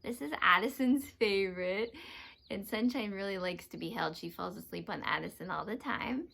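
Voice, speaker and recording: A woman's voice: mid-range voice, normal speed, vocal fry, pushed and bright. A woman teacher giving a read-aloud lesson to young children, explaining simply and asking them questions. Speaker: teacher reading aloud to students.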